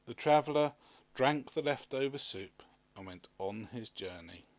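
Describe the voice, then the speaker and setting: Male storybook narrator: middle register, highly animated scripted read, dry studio-like sound. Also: unhurried, no echo. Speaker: storybook reader.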